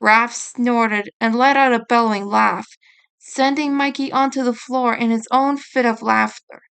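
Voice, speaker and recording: A woman's voice: on the high side, fairly even intonation, unhurried, creaky, fairly bright tone, clean tone. A woman reading a scripted fan fiction story aloud as its narrator.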